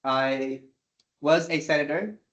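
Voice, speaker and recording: A man in his twenties from Sri Lanka, speaking as a student candidate and pausing often with "uh". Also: fairly high pitch, steady tone, a bit bright. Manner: halting, frequent "uh" fillers. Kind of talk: candidate introduction and answer.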